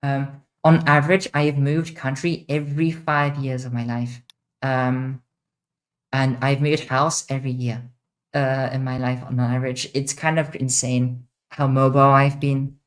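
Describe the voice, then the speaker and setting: A young woman with a mixed international accent, answering chat questions on a casual livestream, blunt and quick to swear. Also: extremely low pitch, little pitch movement. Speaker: streamer.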